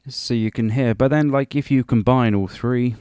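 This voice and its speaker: A man's voice: mid-range voice, normal speed, background noise. A man presenting a casual, unscripted music production tutorial, rambling hesitantly and a little self-deprecating.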